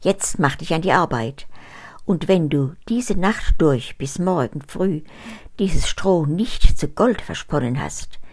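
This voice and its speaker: A woman's voice: sits low, expressive, takes it slowly, breathy edge, exaggerated voice. An older woman reading a fairy tale aloud, voicing a stern, greedy king who gives orders.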